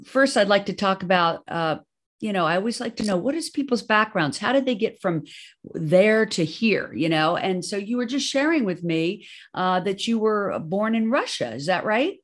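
A woman's voice: mid-range voice, animated, moderate pace. Upbeat woman podcast host. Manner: upbeat, effusive, with many fillers. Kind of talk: scripted intro then interview chat.

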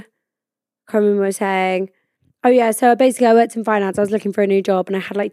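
A woman in her twenties hosting a podcast in a studio, chatting casually and swearing freely, her voice croaky from a cold. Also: mid-range voice, darkish tone, no echo. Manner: chatty, swears freely, tells stories about herself. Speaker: podcast host. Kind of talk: casual chat.

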